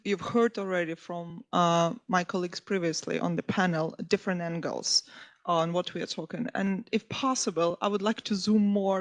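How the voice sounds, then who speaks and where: Earnest woman panelist. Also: fairly low pitch. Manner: earnest, restarts and self-corrections. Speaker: historian and panelist. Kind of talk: conference panel talk.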